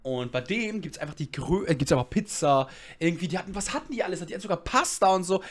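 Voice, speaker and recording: A young man streaming a reaction video in casual German, rambling in slang and swinging between loud outbursts and mumbles. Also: sits high, lively intonation, quick pace.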